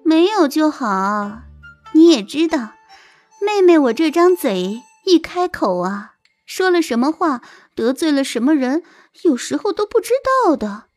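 A woman's voice: high voice, very animated, a little slow, darkish tone, dry recording, soft background music. A woman playing a haughty young concubine in an audio drama, mocking with falsely sweet, spiteful politeness. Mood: feigned politeness. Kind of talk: Chinese audio drama acting.

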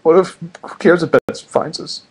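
A man's voice: a little high, steady tone, normal speed, darkish tone, voice pushed up, natural voice, low-quality recording. A man voicing a whiny, pushy, impatient cartoon raccoon slacker in a fan fiction reading. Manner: whining, impatient.

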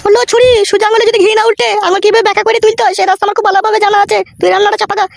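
Shrill, brash cartoon village mother. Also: flat delivery, racing, gravelly, dry recording. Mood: bossy scolding. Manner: scolding, coarse rural slang. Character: brash, foul-mouthed, scolding village mother. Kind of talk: comic cartoon dialogue.

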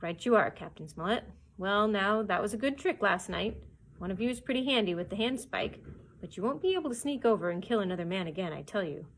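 A woman's voice: medium pitch, animated, a little fast, a little hiss or hum, lightly acted, poor audio. A woman voicing a cheery, wily pirate captain in a storybook, hearty and folksy as he wheedles for a bargain. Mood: sly, insistent.

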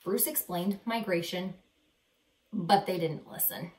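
A woman in her thirties reading a children's picture book aloud with dramatic, deliberate emphasis, in a living room. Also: fairly low pitch, a little mellow. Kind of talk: expressive children's read-aloud. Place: small living room, indoors. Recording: roomy, echoey indoor sound.

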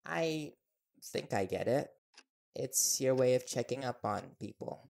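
A man's voice: mid-range voice, animated, measured pace, gravelly, darkish tone, slight background noise. A man playing an easygoing, teasing young reporter boyfriend in an audio roleplay, talking casually and with playful stubbornness. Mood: casual, wary.

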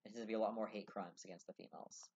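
A man's voice: lowish voice, steady tone, very fast, a little mellow, husky. A young man vlogging to camera from a small room, talking earnestly and rambling with many fillers. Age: young adult. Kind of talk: casual talk to camera. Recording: dull lo-fi webcam audio.

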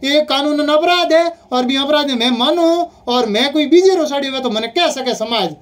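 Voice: high-pitched, moderate pace, a little hiss or hum. A speaker with a Rajasthani accent arguing heatedly and insistently on a phone call, often repeating points. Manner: insistent, repetitive.

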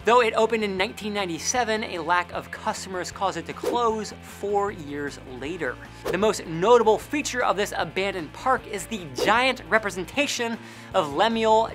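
A young man with an American accent presenting a scripted list narration in an emphatic, animated way. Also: high voice. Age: young adult. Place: indoor studio. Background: faint background music.

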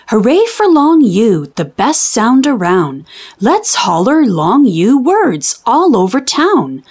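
Female children's storybook reader, lively intonation, unhurried and clearly enunciated, teacherly. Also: on the high side. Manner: deliberate, enunciated teaching delivery. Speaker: children's read-aloud host. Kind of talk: expressive storybook reading for young children.

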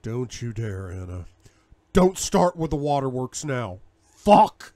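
A man voicing a furious, controlling young man who snarls and shouts with heavy swearing in an audiobook. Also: deep voice, steady tone, measured pace, dry recording, pitched below the performer's own voice.

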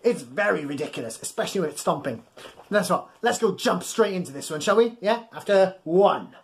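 A man's voice: high voice, expressive, a little fast, vocal fry. A man in his thirties reading a bedtime story to young children in a playful, exaggerated way, coaxing them to join in. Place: small furnished room at home, indoors.

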